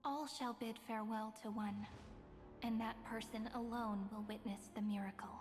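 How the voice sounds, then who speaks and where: A woman's voice: a little high, steady tone, moderate pace, natural voice. A woman voicing a solemn, prophetic heroine in a video game, formal and portentous. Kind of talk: dramatic video game dialogue. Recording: long reverb, music underneath.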